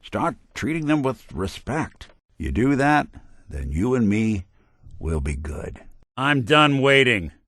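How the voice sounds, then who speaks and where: A man's voice: mid-range voice, very animated, slow, a bit bright, dry recording, studio quality, heavily acted. A man playing a world-weary, loyal synth detective in a video game, talking in a wry, folksy, hard-boiled noir manner. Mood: stern, then stern, fed up.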